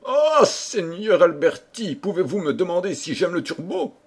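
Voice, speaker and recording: A man's voice: medium pitch, very animated, unhurried. A man voicing a flustered, vain and gullible old king in a storybook tale, with exclamatory, stammering speech. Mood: eager, excited.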